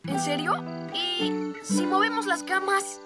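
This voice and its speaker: High-pitched young cartoon turtle boy. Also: slow. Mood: eager. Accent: Latin American Spanish. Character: young boy turtle, earnest, a bit grumpy. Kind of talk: children's cartoon dub. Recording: loud children's music behind.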